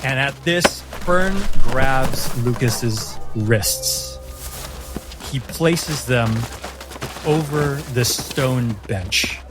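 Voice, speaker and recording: Slow-paced male game master narrating with music. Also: medium pitch. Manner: measured, deliberate, theatrical pauses. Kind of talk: dramatic narration of a tabletop roleplaying story.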